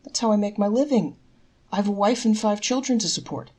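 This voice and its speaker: A woman's voice: medium pitch, fairly quick, warm tone, voice pushed up, natural voice. A woman voicing a plain-spoken, concerned male wagon driver in a storybook folktale, frank and emphatic. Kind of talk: storybook character voice in a read-aloud folktale.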